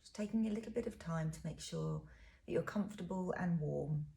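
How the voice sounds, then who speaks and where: Low, mellow, creaky woman guiding meditation. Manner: soothing, unhurried, gentle instruction with soft pauses. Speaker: relaxation and yoga teacher. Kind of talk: guided body scan meditation.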